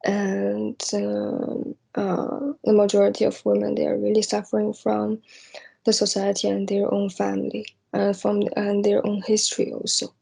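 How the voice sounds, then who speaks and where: A young woman from East Asia speaking off the cuff and reflectively, hesitant and halting as she searches for words. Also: mid-range voice, completely flat delivery, gravelly, warm tone, husky. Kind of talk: unscripted spoken reflection on personal hopes.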